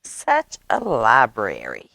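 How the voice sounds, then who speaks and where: A woman reading a picture book aloud to children in a dramatic, expressive way, drawing out words. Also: extremely low pitch, creaky, dry recording, rough recording. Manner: dramatic, expressive, with drawn-out words and hushed asides. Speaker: library storyteller reading to children. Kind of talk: animated picture-book read-aloud with sound effects.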